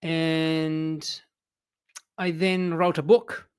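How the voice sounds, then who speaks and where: A man from Italy with an Italian accent, an English teacher chatting as a podcast guest in a hesitant, expressive way. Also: a little high, very slow, clear, resonant tone. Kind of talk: casual interview chat.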